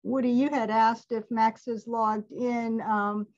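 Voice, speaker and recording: A woman's voice: fairly high pitch, little pitch movement, slow. Chatty woman on a muffled video call. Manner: warm, chatty, laughs often. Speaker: alumni event presenter. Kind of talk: casual webinar talk.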